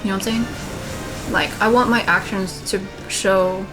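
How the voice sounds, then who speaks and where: A young woman with a monotone voice, talking in a candid, rambling way while playing a video game. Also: medium pitch, unhurried, low-quality recording. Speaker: let's play gamer. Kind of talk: casual commentary while gaming. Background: game music.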